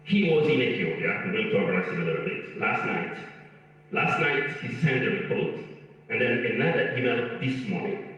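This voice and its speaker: A man from Rwanda, a foundation leader speaking on a panel, talking earnestly and haltingly with many restarts. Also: mid-range voice, expressive, a bit bright. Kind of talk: panel discussion answer. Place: large convention hall. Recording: echoey hall, far from the mic, dull sound.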